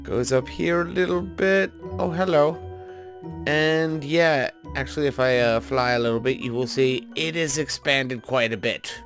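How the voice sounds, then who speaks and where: A man's voice: medium pitch, a little slow, over music. A man hosting a gaming video, giving casual commentary and thinking aloud in an informal way as he plays. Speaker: host of a gaming video series.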